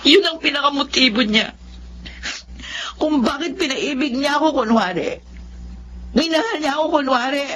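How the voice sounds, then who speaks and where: A woman's voice: sits high, moderate pace, pauses often, fairly bright tone. A woman playing a trusting, emotional young bride-to-be in a radio drama, speaking expressively. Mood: tearful, bitter. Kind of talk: Tagalog radio drama acting. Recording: dull lo-fi audio, faint noise.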